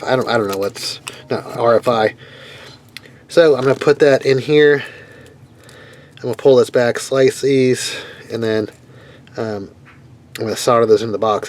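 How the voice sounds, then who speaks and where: Male DIY tinkerer, average pitch, casual and hesitant demo talk, noticeable car noise in the background. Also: darkish tone. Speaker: DIY car radio tinkerer narrating repair video. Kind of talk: casual hands-on demonstration talk. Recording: clear background noise, vehicle ambience. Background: vehicle ambience and noise.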